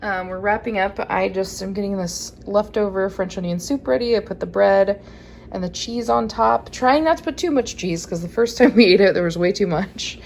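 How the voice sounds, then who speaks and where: A woman's voice: medium pitch, normal speed, vocal fry, a little mellow, slight background noise. A young woman with a Midwestern accent, chatting casually to a handheld camera as she shows a vacation rental, with lots of fillers and light laughs. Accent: Midwestern American. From American Midwest. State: slightly raspy morning voice. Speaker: vlogger. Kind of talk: casual vlog chat. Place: vacation rental house and car.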